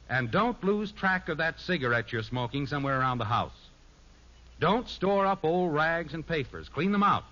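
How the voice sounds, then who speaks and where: Male American announcer, emphatic scripted narration, forceful tone, animated pitch, 1950s radio style, muffled old recording. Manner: earnest, emphatic.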